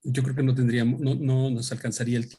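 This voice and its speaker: A man speaks into a microphone at a book presentation. His pitch is mid-range for a man, and he talks in a thoughtful, halting way, often pausing and restarting his sentences. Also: level tone, warm tone, slightly rough. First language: Spanish. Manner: thoughtful, hesitant, with many fillers and restarts. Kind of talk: unscripted talk at a book presentation.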